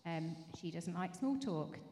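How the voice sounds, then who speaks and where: A woman speaks in a fairly low, mellow voice, explaining things in a measured way. She is answering questions at a church session, and her words ring through an echoey hall. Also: lively intonation. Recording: echoey hall with long reverb.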